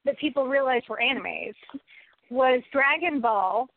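A thin, phone-like recording carries a high-pitched American woman's voice in casual, opinionated chat. She is emphatic and repeats phrases to drive points home. The sound is dry, with little room around it. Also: lively intonation, measured pace, no echo. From United States.

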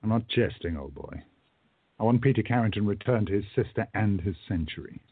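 A man playing a courtly, resolute time-travelling gentleman in a radio play, with formal, emphatic speech. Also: lowish voice, lively intonation, a little fast, slightly rough, no echo, phone-quality sound. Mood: stern, warning.

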